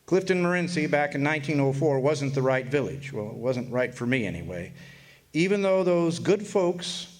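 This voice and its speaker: A man's voice: mid-range voice, expressive, a little mellow, lightly acted. An actor playing a wry, folksy older man who narrates his Arizona orphan boyhood in a radio drama. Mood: wry, reflective. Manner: folksy, wry, drawn-out storytelling. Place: live stage performance in a hotel.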